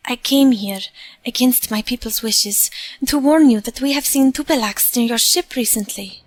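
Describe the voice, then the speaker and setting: A woman acts an earnest young woman in an audio drama. Her voice is high and recorded dry. She speaks plainly and solemnly, as one bringing a warning. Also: moderate pace, dry recording, faint music behind, natural voice. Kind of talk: period audio drama acting.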